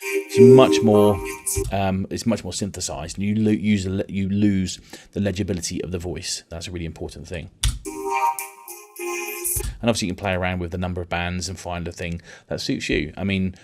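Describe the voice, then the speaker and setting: Low-pitched man giving an informal software tutorial. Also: fairly even intonation, normal speed. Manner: rambling, conversational explaining. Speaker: music technology tutor. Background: synth and vocoder music.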